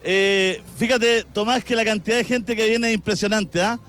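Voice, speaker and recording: A man with a fairly high voice and a Chilean accent reports live from a crowded avenue outdoors, stopping passers-by with quick, conversational questions. Faint music sits under the broadcast. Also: fairly even intonation, a bit bright. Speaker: street reporter. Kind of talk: live field news report with street interviews. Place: busy city avenue outdoors among walking crowds.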